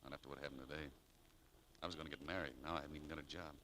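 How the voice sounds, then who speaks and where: An actor playing a proud, bitter young shop clerk in a 1940s radio drama, needling and prone to stammering, on a noisy old recording. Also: male voice, very deep voice, steady tone, very fast, sharp tone. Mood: dejected.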